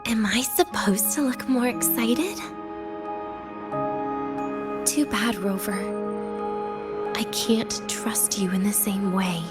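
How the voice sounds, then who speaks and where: An actress voicing a weary, teasing, guarded young woman in a video game, speaking with wry, breathy pauses. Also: medium pitch, loud music behind the voice, natural voice. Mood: coolly challenging.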